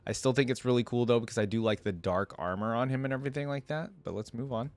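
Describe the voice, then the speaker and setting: A man's voice: mid-range voice, quick pace, darkish tone, no echo. A man hosting a reaction video, giving casual commentary in an informal, rambling way as he thinks aloud. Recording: music playing behind the voice. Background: music.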